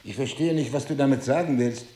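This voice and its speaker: An actor playing a remorseful, bewildered husband in an intimate drama, speaking in a grave, halting, restrained way. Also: male voice, medium pitch, dark tone, reverberant, close to an everyday voice. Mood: resigned. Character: remorseful, bewildered husband, resigned to leaving his wife. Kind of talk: intimate dialogue-driven audio drama.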